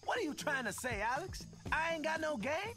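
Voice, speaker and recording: A playful, streetwise zebra from a cartoon game. The American voice is strikingly high and bounces around with jokey swagger, over a music bed. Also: male voice, big pitch swings, brisk. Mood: mocking. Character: brash, fast-talking, playful male zebra. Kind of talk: animated video game acting.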